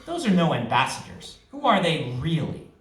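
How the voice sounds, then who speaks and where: A man's voice: a little high, wildly swooping pitch, normal speed. A man reading the part of an indignant queen who questions her husband insistently and with sarcasm. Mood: suspicious, probing. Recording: echoey event room, distant microphone.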